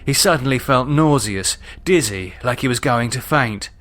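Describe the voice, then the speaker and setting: A man narrating a horror novel he wrote, in a measured, suspenseful, dramatic storytelling manner. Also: mid-range voice, animated, fairly bright tone. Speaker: author reading own novel.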